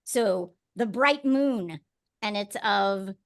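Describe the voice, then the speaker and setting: A woman's voice: mid-range voice, lively intonation, slow pace. A woman hosting a casual interview, talking in a chatty, informal and joking way. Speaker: podcast host. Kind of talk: fun casual interview chat.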